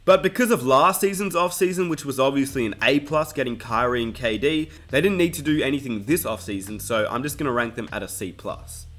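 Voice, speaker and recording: A young man with an Australian accent talks through his sports opinions in an emphatic way. His voice sits at an ordinary middle pitch and rises when he makes a strong point. Soft music plays faintly in the background. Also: a little fast, hardly any pauses. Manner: emphatic, rising on key points. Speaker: basketball channel host. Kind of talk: opinion commentary over game clips.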